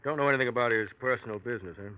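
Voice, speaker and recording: Terse American male actor as radio detective, medium pitch, casual probing, muffled vintage recording. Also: racing, close to an everyday voice. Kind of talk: 1940s radio acting.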